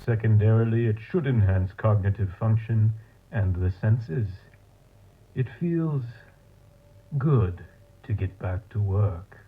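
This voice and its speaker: An actor playing a coldly clinical mad scientist, dictating experiment logs in a formal, measured way for an analog horror audio drama. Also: male voice, on the low side. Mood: quietly pleased. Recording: tinny, phone-like tape log sound.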